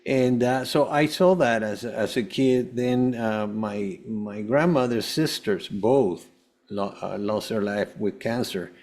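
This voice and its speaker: Low-pitched man telling his story haltingly. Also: a little mellow. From Venezuela. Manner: halting, often repeats words. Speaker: podcast guest, holistic health coach. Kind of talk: personal storytelling in an interview.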